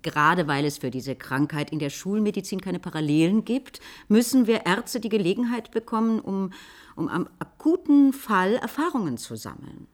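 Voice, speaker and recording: A woman's voice: mid-range voice, expressive, normal speed, lightly acted. Woman playing a doctor on a panel, earnest and pushy, voice goes up and down a lot, radio play. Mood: earnest, insistent. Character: insistent female physician, earnest panel expert. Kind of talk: German radio play staged as a panel discussion.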